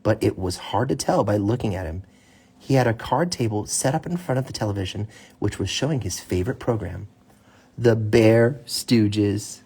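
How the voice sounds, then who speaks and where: A man with a husky voice, a father reading a storybook aloud to his child with casual asides. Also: on the low side, mellow. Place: child's bedroom, indoors.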